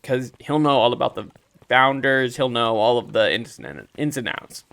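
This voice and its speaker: A man's voice: mid-range voice, normal speed, gravelly, no room sound. A man giving casual, playful commentary as he plays through a video game. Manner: playful, animated, reads dialogue aloud in character. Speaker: let's play streamer voicing characters and reacting.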